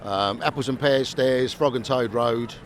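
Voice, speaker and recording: A man's voice: medium pitch, measured pace. A man answering street interview questions in a matter-of-fact way. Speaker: passer-by. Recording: outdoor street noise.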